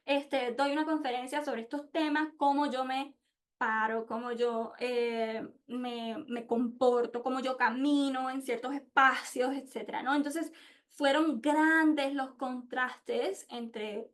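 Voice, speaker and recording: A woman's voice: sits high, fairly even intonation, slow pace. A woman gives an informal, reflective presentation, speaking candidly and often searching for words. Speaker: podcast host and international relations researcher.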